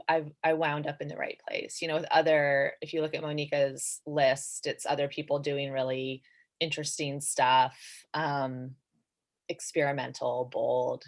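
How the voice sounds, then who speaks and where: A woman with a notably low voice talks in casual conversation. She is an author appearing as a guest on a book panel. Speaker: debut author, event guest.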